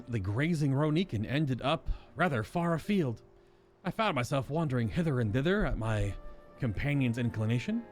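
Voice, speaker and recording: A man performs a reflective, courteous nobleman in a fantasy game. He speaks formally and earnestly in a mid-range voice with expressive movement, quiet music behind him. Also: mid-range voice, lightly acted. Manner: formal, measured wording. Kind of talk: reading fantasy game dialogue aloud.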